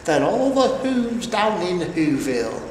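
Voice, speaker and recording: Storybook villain, played by a man: gleefully wicked old creature, slow hissing delivery, picked up from a distance. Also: sits high, sing-song, darkish tone, exaggerated voice. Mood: gloating. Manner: hissing, grinning, drawn-out delivery. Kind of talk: storybook character voice. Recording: roomy, distant, noisy recording.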